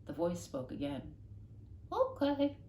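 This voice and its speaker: A woman reading a children's novel aloud expressively, with dramatic, deliberate emphasis. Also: fairly low pitch, animated, darkish tone. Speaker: storybook reader, classroom host. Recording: echoey room, faint noise.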